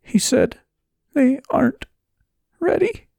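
A gentle young woman in grief, from a storybook drama, played by a male narrator. The voice is lifted high and sounds dry and close. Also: big pitch swings, slow, creaky, no room sound, full character voice. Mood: tearful.

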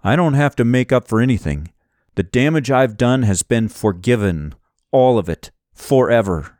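A man's voice: mid-range voice, animated, normal speed, darkish tone, no room sound, clean studio sound, voice pushed up, lightly acted. Man acting an earnest, steadfast young hero. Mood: steadfast conviction. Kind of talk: audiobook character voice.

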